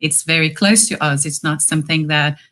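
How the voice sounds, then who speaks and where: A woman in her thirties from northeastern Brazil with a Brazilian accent, moderating a live online Q&A through a headset in a calm, measured way. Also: sits low. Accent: Brazilian Portuguese. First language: Portuguese. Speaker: webinar moderator. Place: home room, indoors.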